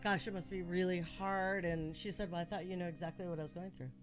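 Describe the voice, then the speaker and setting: An American woman with a somewhat low voice speaks earnestly in relaxed conversation. She leans on key phrases and repeats them for emphasis. A little music sits quietly in the background. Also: quick pace. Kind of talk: casual conversational discussion.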